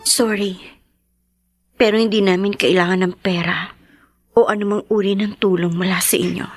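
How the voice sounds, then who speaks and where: A woman's voice: mid-range voice, fairly even intonation, dry recording, clean studio sound, faint music behind, natural voice. A woman playing an earnest, emotional adult daughter, devoted and wounded, in a Filipino radio drama. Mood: bitter, firm. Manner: slow, emotional, earnest delivery. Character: devoted, wounded adult daughter caring for her sick father.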